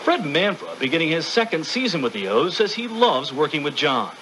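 A man's voice: on the high side, big pitch swings, fairly quick, background noise. A man reading scripted narration as the narrator of a radio documentary.

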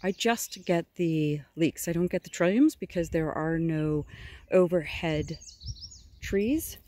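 A woman's voice: sits low, slight background noise. Middle-aged woman narrating a foraging walk outdoors; easy, admiring delivery, phone mic, birds in the background. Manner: gentle, admiring, unhurried explaining. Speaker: forager showing her land. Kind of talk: casual outdoor how-to narration. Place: spring woodland outdoors by a river. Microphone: phone camera held at arm's length.

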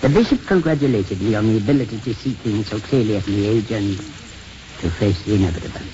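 Man playing old irish priest, proud n flustered, swoopy pitch, muffled old radio drama. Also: lively intonation, warm tone, natural voice. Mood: stiff, wounded. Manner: halting, flustered, repeats words. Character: proud, sulky, stubborn elderly Irish priest. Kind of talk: 1950s radio acting. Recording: muffled, noisy 1950s radio broadcast.

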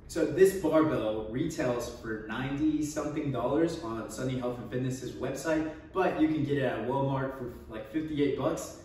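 A man's voice: medium pitch, normal speed, few pauses, dark tone, distant mic, slight background noise. A young man in his twenties giving a casual, joking review of gym gear in his home garage gym, filmed by a camera across the room. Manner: joking, offhand, trails off with small laughs. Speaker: fitness vlogger. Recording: echoey garage, voice off-mic.

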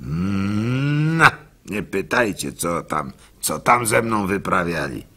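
An actor playing a gullible, good-natured village simpleton who tells his own story haltingly in a radio monologue, with a husky, gravelly voice. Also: low-pitched, big pitch swings, sharp tone, full character voice. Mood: resigned, earnest. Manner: halting, stammering repeats, chuckles, acts out hecklers.

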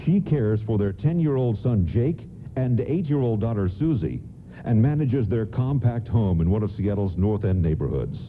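A muffled, narrow-band recording with faint noise carries a man acting as a nostalgic radio storyteller. His delivery is scripted and moves up and down freely in pitch. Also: lively intonation, normal speed, lightly acted. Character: nostalgic male storyteller of a Christmas radio play. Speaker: narrator. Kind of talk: scripted radio play narration. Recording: muffled phone-like audio, faint rumbling noise.

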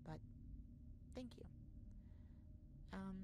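A woman playing an earnest, easily flustered space ambassador, halting and awkward yet formal, in an ASMR sci-fi roleplay. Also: warm tone, poor audio. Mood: awkward, tired. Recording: noticeable background noise.

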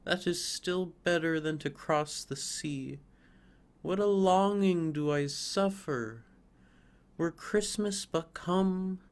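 A man's voice: a little high, animated, a little slow, a little mellow, voice pushed up. A man voicing a restless young fir tree that yearns to be grown and carried off, speaking in eager exclamations. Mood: yearning. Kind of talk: storybook reading.

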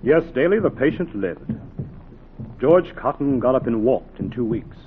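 A man's voice: medium pitch, sing-song, lightly acted. An actor playing a dedicated, intense heart surgeon teaching his assistant, in dramatic, emphatic 1940s radio style. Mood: fervent. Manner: dramatic, emphatic, deliberate phrasing. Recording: noisy, narrow-band 1940s radio recording.

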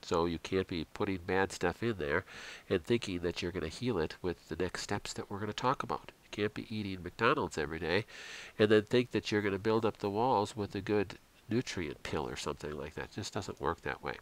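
Middle-aged guy, very low voice, earnest slideshow health talk, lots of ums. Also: a little fast, poor audio. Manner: earnest and instructive, frequent fillers. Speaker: chiropractor presenting a health program. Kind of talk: slideshow health lecture voice-over.

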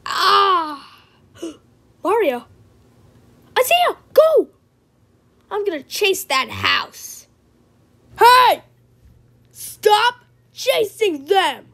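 A woman performing homemade character lines as an amateur voice actor, theatrical, with gasps and drawn-out exclamations. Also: very high voice, sing-song, creaky, pushed and bright. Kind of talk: homemade character voice lines, animated movie remake.

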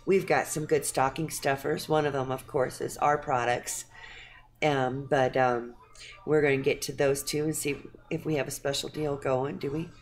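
Low-voiced middle-aged woman host. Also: fairly even intonation, moderate pace, slight background noise. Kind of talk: casual chat. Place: small studio with green-screen set.

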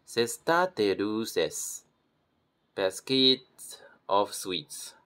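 Guy practicing pronunciation, low voice, slow and careful, redoes words. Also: very animated. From Taiwan. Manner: slow, repeats words, self-correcting with soft laughs. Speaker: language learner. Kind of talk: self-recorded pronunciation practice.

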